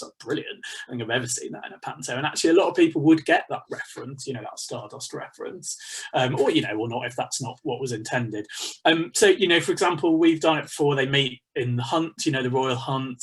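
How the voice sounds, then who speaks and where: British male workshop leader, husky, mid-pitched, chatty and discursive, on a video call. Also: a bit bright. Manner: chatty, discursive, thinking aloud. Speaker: pantomime writer leading a workshop. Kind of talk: informal workshop talk. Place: small home study, indoors. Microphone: computer mic on a video call.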